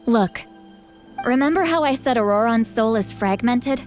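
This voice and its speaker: Woman voicing a tribe mentor lady in a game, kinda high youthful voice, frank and protective, sure of herself. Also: lively intonation, moderate pace, darkish tone, soft background music, lightly acted. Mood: serious. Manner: candid, informal, self-assured. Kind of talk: video game voice acting.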